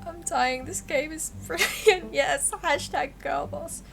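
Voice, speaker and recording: A woman livestreamer giving casual, expressive, giggly game commentary and reading the game's text aloud. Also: high-pitched, slow, gravelly, faint music behind. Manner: giggly, expressive, reacts out loud.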